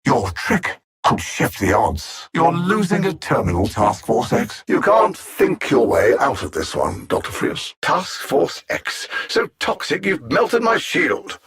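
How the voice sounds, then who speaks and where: A man voicing a cold, arrogant alien machine-mind villain in a video game, speaking in precise, formal phrases with theatrical condescension and a gravelly, husky edge. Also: mid-range voice, wildly swooping pitch, takes it slowly, few pauses, fairly bright tone, exaggerated voice. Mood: smug disdain.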